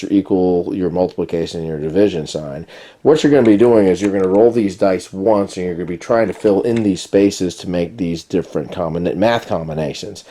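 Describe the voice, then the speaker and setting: A man reviewing a board game and explaining its rules in a casual, chatty way, his voice a little raspy from a cold. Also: low-pitched. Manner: relaxed, chatty explaining with many fillers. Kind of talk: casual how-to-play review.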